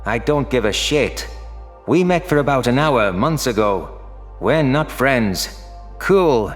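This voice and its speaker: A man's voice: medium pitch, animated, slow pace. An anxious, irritable male alien played by a man in a sci-fi audio story. He sounds agitated and defensive, with faint music behind him. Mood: irritated.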